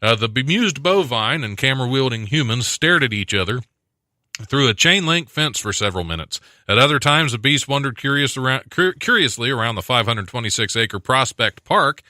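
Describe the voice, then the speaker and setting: Bright, animated male podcast host. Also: mid-range voice, no room sound, studio quality. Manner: laughs often, animated and bright. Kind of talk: casual chat, reading news aloud.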